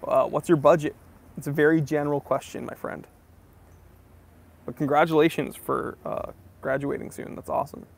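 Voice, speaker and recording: A man's voice: on the high side, flat delivery, normal speed, creaky, pauses often. A man from New England hosting a casual livestream Q&A. He reads viewer comments aloud and answers them in a friendly, easygoing way. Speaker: host of a watch channel.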